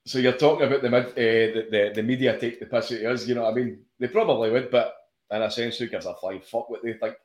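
Fast-talking man, echoey room. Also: medium pitch, steady tone. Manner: fast, with repeated restarts and swearing. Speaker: podcast co-host. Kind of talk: casual football chat.

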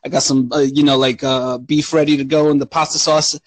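A young adult man from Romania co-hosting a podcast, telling stories in an animated, profane, casual way. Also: medium pitch, brisk, no echo. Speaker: podcast co-host. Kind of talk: casual chat.

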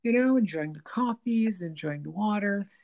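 Woman, kinda nasal, gravelly, rambly casual chat. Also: medium pitch, animated, normal speed, a little mellow, highs cut off, slight background noise. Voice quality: slightly nasal. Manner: rambling, many restarts and "you know"s. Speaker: guest talking about diet and the body. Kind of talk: casual conversation.

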